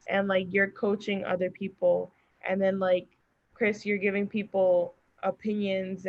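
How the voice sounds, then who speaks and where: Encouraging woman on slightly dull audio. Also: medium pitch. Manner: encouraging, earnest. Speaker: podcast guest. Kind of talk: casual chat. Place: remote group call.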